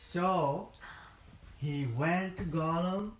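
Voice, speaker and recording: A woman improvising a bedtime story for children in simple, repetitive phrases. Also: extremely slow. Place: indoors in a room. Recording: echoey room, faint noise, low volume.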